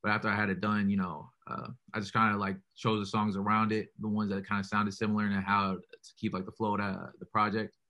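A young man with a deep voice, a rapper answering interview questions in a relaxed way, full of "like" and "you know". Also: fast talker, hardly any pauses, muffled recording.

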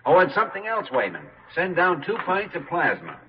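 Give me a calm radio-drama doctor, lively intonation. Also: male voice, on the high side, a little fast. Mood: brisk, urgent. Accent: American. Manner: reassuring, decisive. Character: calm, kindly young male hospital doctor at a rescue. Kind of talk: old-time American radio drama acting. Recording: noisy, muffled old radio recording with clicks.

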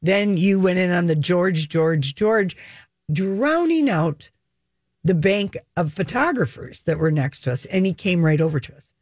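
A woman's voice: fairly low pitch, lively intonation, unhurried, darkish tone, dry recording. A woman co-hosting an entertainment talk show in casual, teasing banter, often jumping in over others.

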